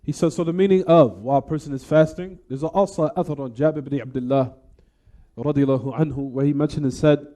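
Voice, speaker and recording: Fast-talking, mellow male religious lecturer. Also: fairly high pitch, fairly even intonation. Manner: didactic, poses rhetorical what-questions to listeners. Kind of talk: religious lecture explaining a text.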